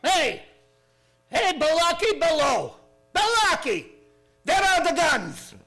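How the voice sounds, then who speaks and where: An actor playing a frightened, defiant deckhand with a strained, raspy voice in an old-time radio play. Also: male voice, extremely high pitch, big pitch swings, slow pace, pushed and bright, faint music behind, heavily acted. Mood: urgent calling.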